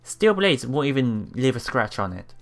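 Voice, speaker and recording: A man's voice: medium pitch, very animated, fairly bright tone. A man reading visual novel text aloud for a let's play, stumbling over words and adding his own reactions. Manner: halting, stumbles over words and repeats them. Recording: music behind the voice. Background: game music.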